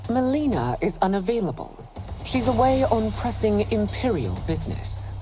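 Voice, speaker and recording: A woman's voice: a little high, very animated, normal speed. A woman playing a stern, regal middle-aged empress in a video game, speaking formally and imperiously. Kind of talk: video game cutscene acting. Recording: music behind the voice.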